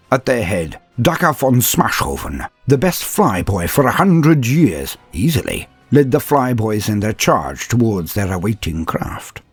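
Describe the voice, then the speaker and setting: A man's voice: mid-range voice, breathy edge, no room sound. A man narrating a comedic fan story in a grandiose, mock-heroic manner with dry asides.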